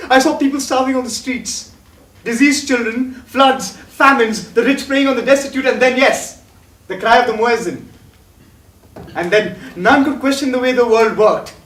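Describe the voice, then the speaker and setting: A man's voice: extremely high pitch, fairly bright tone. An actor playing a bitter young man estranged from his rich family in a stage play, delivering his lines in an impassioned, declamatory way. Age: young adult. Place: theatre stage. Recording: echoey stage from far off, faint music.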